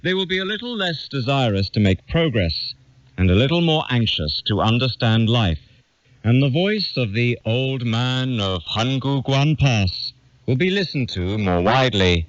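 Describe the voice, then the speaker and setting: A man reading an essay as a scripted audiobook narration, in a measured, deliberate and formal manner. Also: mid-range voice, lively intonation, no echo. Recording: dull lo-fi radio capture.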